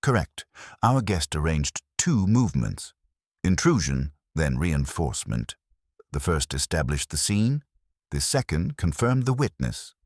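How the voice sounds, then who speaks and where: A man's voice: rumbling low, very animated, a little slow, dry recording, clean studio sound, full character voice. A man voicing an imperious, pedantic master detective with pompous, formal and precise speech in an audiobook. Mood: lofty certainty.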